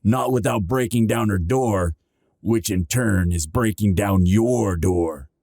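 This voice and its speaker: An actor playing a loyal but hot-tempered dragon captain who sounds gruff and exasperated. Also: male voice, lowish voice, slow pace, dark tone, no room sound, studio quality. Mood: frustrated. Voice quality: gravelly. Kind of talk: audio roleplay acting.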